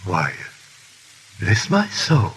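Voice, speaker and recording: A man playing a hesitant, deferential household servant with a husky voice in a 1930s radio drama. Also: on the low side, wildly swooping pitch, extremely slow, a bit bright, exaggerated voice. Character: deferential old-fashioned household manservant. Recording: crackly, hissy 1930s radio recording.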